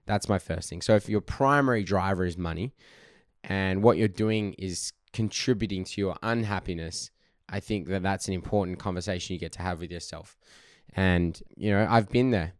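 Husky-voiced man, deep and smooth. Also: no echo, clean studio sound. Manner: relaxed, rambling, laughs often, swears casually. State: husky from a cold. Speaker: podcast host. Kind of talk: casual solo podcast Q&A. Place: sitting on his couch at home.

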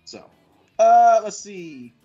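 Brief: male podcast host, late thirties to forties, husky, lively pitch, rambling and crude, dull lo-fi sound with faint music. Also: very slow. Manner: rambling, crude joking, reads out stats with fillers. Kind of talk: casual podcast chat.

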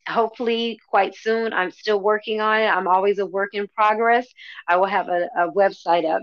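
A woman's voice: medium pitch, no room sound. A woman interview guest answering casually, feeling for her words, on a slightly dull, lo-fi connection.

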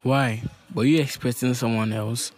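A man's voice: medium pitch, fairly even intonation, normal speed, a little mellow, no echo, studio quality, close to an everyday voice. A man acting a bitter, persistent young suitor in an audio play, with a Ghanaian accent. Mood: jealous, mocking. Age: young adult. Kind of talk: dramatic dialogue in an audio play.

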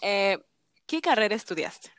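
A young woman hosting a casual podcast interview, chatty and quick to laugh, with lots of fillers. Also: mid-range voice, animated, fairly bright tone, smooth. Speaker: podcast host.

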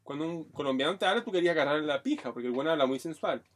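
A man's voice: on the high side, expressive, very fast. Brazilian man telling frank stories. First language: Portuguese. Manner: frank storytelling, heavy Chilean slang. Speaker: podcast co-host. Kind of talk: casual chat.

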